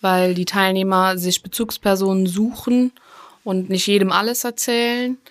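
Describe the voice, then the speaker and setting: A woman's voice: mid-range voice, flat delivery, normal speed. Casual woman nurse explaining teamwork. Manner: casual, plain-spoken. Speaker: nurse team member. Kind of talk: interview answers.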